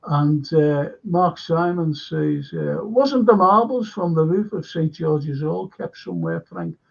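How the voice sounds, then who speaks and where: Gravelly-voiced older Liverpool man. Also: fairly high pitch, very animated, unhurried. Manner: rambling storyteller, acts out quoted voices. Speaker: radio show host and local historian. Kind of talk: casual radio chat, reading listener messages. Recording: dull lo-fi sound.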